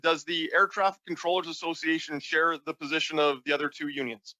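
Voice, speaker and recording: Higher-voiced man questioning formally. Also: flat delivery, a little fast, bright, forceful tone, dry recording, low-quality recording. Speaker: member of parliament questioning a witness.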